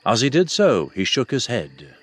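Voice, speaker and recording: Male narrator, expressive varied intonation, mid-range voice, scripted fantasy storytelling, music underneath. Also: mid-range voice, moderate pace. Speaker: audiobook narrator. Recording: soft music behind the voice.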